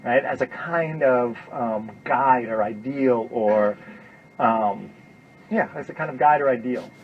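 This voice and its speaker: A man's voice: medium pitch, slow. A man giving an informal, emphatic classroom lecture, repeating himself and often checking in with "right?". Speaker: university lecturer. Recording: dull lo-fi classroom recording with noise.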